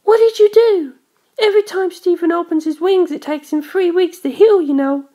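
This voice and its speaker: Woman putting on a high, bossy little rich girl. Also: normal speed, dark tone. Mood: accusing. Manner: bossy, indignant. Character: vain little schoolgirl, aristocratic and sulky. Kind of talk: audiobook character voice.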